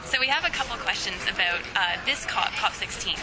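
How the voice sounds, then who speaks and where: A woman's voice: mid-range voice, expressive, brisk, over music. A woman asking questions casually as a podcast interviewer. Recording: noisy outdoor urban location.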